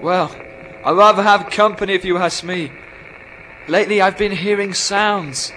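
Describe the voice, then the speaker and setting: An actor playing a lonely, stranded astronaut fraying on a moon base in a radio drama, emphatic and agitated. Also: male voice, high voice, fairly even intonation, normal speed, pushed and bright, a little hiss or hum, poor audio. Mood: lonely, frustrated. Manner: emphatic, self-addressing, agitated.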